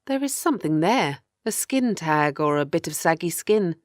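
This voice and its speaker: A low-pitched woman reading as a captive woman of thirty recounts her ordeal in a horror audiobook. The delivery is measured and grim, weary and afraid. The sound is dry and close, with no room around it. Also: animated, no echo, lightly acted. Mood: uneasy, horrified. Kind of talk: first-person audiobook horror narration.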